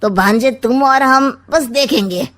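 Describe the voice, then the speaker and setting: Woman playing a sly, slow-talking scheming old uncle. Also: high-pitched, fairly bright tone. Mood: sly, coaxing. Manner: sardonic, drawn-out rhyming delivery. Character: scheming, sly, smug maternal uncle, older man. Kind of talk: comic cartoon story acting.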